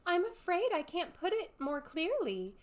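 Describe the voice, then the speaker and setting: A young storybook girl, played by a woman, speaks in a high, lifted voice. She is courteous and earnest, hesitant but ready to stand up for herself. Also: sing-song, measured pace, heavily acted. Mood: polite, confused.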